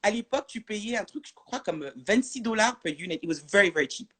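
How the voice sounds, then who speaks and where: A woman from France speaks as a guest in a relaxed live interview. She hesitates often and keeps restarting her sentences with fillers, at an average pitch. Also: fairly quick, slightly rough. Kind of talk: casual live interview chat.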